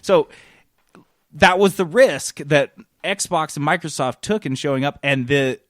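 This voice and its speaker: A man's voice: fairly high pitch, unhurried, no room sound, clean studio sound. A man hosting a casual gaming podcast, explaining things and acting out quotes as he goes. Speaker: podcast host, games journalist.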